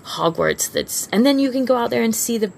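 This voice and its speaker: Gravelly woman cohosting a fan podcast, super casual and teasing, bit of background noise. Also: lively intonation, fairly quick. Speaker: podcast co-host. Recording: faint rumbling background noise.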